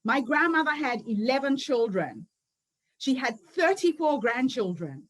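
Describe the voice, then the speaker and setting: Lady in her 50s, high voice, earnest speech telling her own story. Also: big pitch swings, measured pace. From Zimbabwe. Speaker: summit founder and speaker. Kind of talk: motivational speech.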